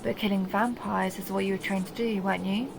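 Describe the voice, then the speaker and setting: A woman's voice: medium pitch, steady tone, brisk, gravelly, background noise, natural voice. A woman playing a seductive, possessive vampire countess who teases and condescends in an intimate audio roleplay monologue. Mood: musing, taunting. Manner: teasing, mocking, intimate purring address.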